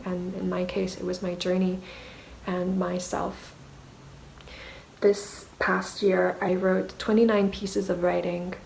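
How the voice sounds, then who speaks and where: Slow, even-toned woman vlogger, native Mandarin speaker. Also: fairly low pitch, gravelly, slight background noise, rough recording. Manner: self-conscious, hesitant. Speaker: artist vlogger. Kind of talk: reflective video diary.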